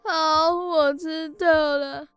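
A woman's voice: high voice, fairly even intonation, extremely slow, a bit bright, soft background music, exaggerated voice. A young female performer acting a tender-hearted, loyal young boy in a radio drama, with a Taiwanese Mandarin accent. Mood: tearful. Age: young adult.

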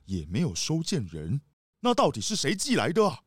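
Animated, earnest official. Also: male voice, a little high, normal speed, breathy edge, no echo, studio quality, heavily acted. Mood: puzzled. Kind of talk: children's detective audio drama.

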